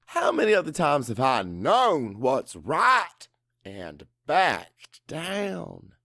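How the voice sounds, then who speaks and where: Expressive, unhurried male audiobook narrator. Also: fairly high pitch, no room sound, studio quality. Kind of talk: dramatic scripted first-person narration.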